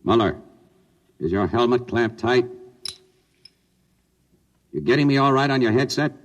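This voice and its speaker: An actor playing a steady male general who gives clipped orders on a dive, in 1950s radio drama style. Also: mid-range voice, measured pace, long reverb tail, lightly acted. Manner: clipped commands. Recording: old radio broadcast with music bed.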